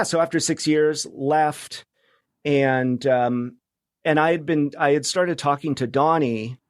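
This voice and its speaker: A man in a relaxed, reflective interview, with a mid-pitched voice recorded very dry. He talks informally and thinks aloud, circling through his point with frequent fillers. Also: no echo. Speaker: podcast guest, journalist and media founder. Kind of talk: casual reflective conversation.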